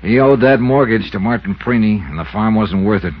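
A man's voice: fairly low pitch, lively intonation, brisk, slightly rough. A man playing a cool, probing American insurance investigator in a 1950s radio drama, with a dry, clipped manner. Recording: dull, noisy old radio recording.

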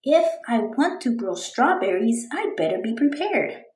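A woman voicing an eager, determined young girl reading out her storybook diary in an earnest, expressive way. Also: on the high side, unhurried, roomy sound. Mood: hopeful.

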